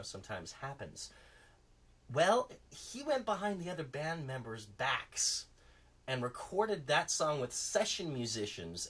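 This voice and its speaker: A man hosting his own acoustic song channel, talking in a relaxed, rambling way with frequent ums. He is congested and phlegmy, and his voice is nearly gone. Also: medium pitch, slightly rough. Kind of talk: casual spoken aside after a song.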